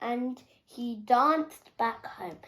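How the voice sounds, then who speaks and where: A young girl with a high voice reads a picture book aloud. Her pace is extremely slow and careful, and she halts over words, repeats them and corrects herself as she goes. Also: sharp tone, slight background noise. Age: child. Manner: halting, careful reading with stumbles and self-corrections. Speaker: young reader.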